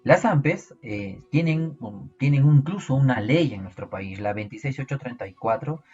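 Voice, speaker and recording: Husky-voiced Peruvian man teaching biology. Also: mid-range voice, expressive, normal speed, darkish tone, dull audio, soft background music. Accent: Peruvian Spanish. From Peru. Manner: explanatory, asks rhetorical questions to students. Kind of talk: online class lecture.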